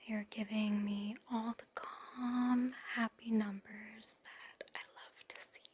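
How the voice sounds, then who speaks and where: A woman playing a gentle, attentive nurse in an ASMR roleplay, speaking in a breathy, reassuring whisper. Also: a little high, level tone. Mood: gentle, soothing. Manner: slow, soothing, reassuring. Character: gentle, soothing, attentive female recovery nurse. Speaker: ASMR performer.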